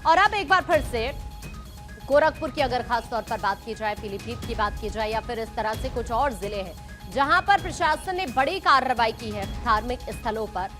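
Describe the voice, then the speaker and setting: A woman's voice: on the high side, lively intonation, normal speed, fairly bright tone. A woman anchoring live TV news, presenting in an emphatic, repetitive headline style. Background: news music bed.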